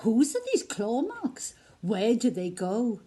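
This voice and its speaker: A brave little girl monster pokes through the snowy woods, curious and bold, as a woman reading aloud puts her on. Her voice bounces up and down with lively swings, she talks slowly, and her tone stays warm and soft. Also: fairly high pitch, slightly rough, heavily acted. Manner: bold, curious, wide-eyed. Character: young female Gruffalo's child, brave and curious.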